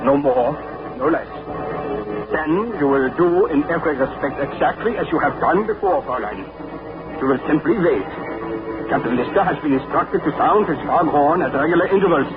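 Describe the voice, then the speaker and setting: A man's voice: on the high side, lively intonation, moderate pace, music in the background, lightly acted. An actor playing a cold, precise male enemy agent, speaking in a clipped, formal, commanding way. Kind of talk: 1940s radio acting. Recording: tinny, hissy 1940s radio broadcast.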